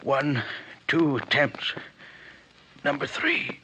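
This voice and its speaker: Tense, fatalistic radio private eye. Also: male voice, fairly high pitch, huge pitch swings, bright, forceful tone, highs cut off, slight background noise, heavily acted. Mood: weak, despairing. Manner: nervous, halting, mournful. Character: anxious, fatalistic, melodramatic male private eye. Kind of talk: 1950s radio drama acting.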